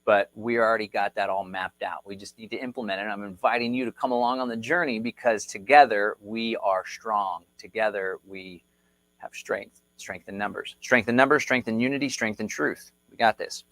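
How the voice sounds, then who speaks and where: Energetic man hosting a crypto livestream. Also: fairly low pitch, normal speed, dry recording, low-quality recording. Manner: energetic, repetitive, rallying. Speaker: host. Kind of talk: casual livestream explainer. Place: Texas.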